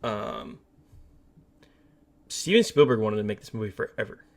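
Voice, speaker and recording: A man's voice: lowish voice, animated, moderate pace. A man co-hosting a casual movie and game podcast, opinionated and emphatic, repeating words for stress. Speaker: podcast co-host.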